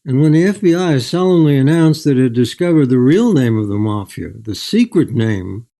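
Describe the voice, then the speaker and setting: An older man telling stories as an author in an interview, in a measured, anecdotal way. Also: mid-range voice, lively intonation, dark tone. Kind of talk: storytelling in an interview.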